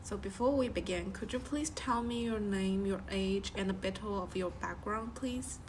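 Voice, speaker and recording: A woman interviewing a student, asking prepared questions politely with some halting restarts. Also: mid-range voice, a little mellow, a little hiss or hum.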